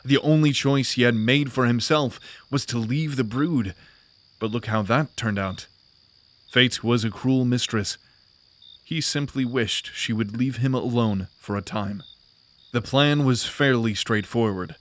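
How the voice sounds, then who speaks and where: A man delivers dramatic fantasy narration in a medium-pitched voice. His storytelling is deliberate and grave.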